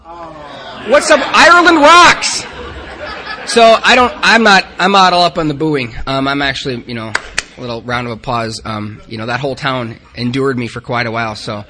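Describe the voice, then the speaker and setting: Upper Midwest man, bright and punchy tone, candid self-deprecating recovery talk. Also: medium pitch, expressive, moderate pace. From Upper Midwest of the United States. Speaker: speaker at a recovery group meeting. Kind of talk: personal recovery talk to a group.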